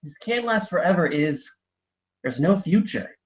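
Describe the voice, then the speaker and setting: A restless teenage boy played by a man in a film table read, with lively, swinging intonation. He is defensive, evasive and sardonic. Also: fairly high pitch, a little slow. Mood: exasperated.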